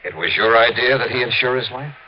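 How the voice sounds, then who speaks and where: An actor playing a wry, shrewd insurance investigator in a 1950s radio detective drama, clipped and probing. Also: male voice, mid-range voice, brisk, slight background noise, lightly acted. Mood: cool, pointed. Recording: muffled 1950s radio recording.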